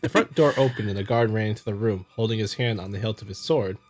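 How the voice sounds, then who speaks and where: A man hosting a visual novel playthrough, reading narration and commenting casually in a halting, stumbling way. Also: fairly low pitch, a little mellow. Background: music.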